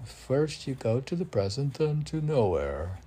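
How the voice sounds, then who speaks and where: A man's voice: medium pitch, big pitch swings, a little slow, warm tone, slightly rough. A man reading his own poems aloud as a poet, with declamatory, emphatic delivery.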